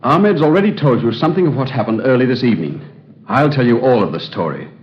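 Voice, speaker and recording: Man acting a tormented, earnest scholar, animated old-radio delivery. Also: mid-range voice, fast, natural voice. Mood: intense, uneasy. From England. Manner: earnest, agonized, formal diction. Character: troubled, earnest English Egyptologist haunted by the past. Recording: dull, band-limited old radio recording.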